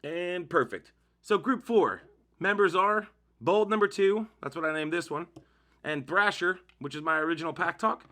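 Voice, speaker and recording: A man's voice: high voice, lively intonation, moderate pace, sharp tone. A man narrating a casual how-to demonstration, explaining informally step by step as the host of a tutorial.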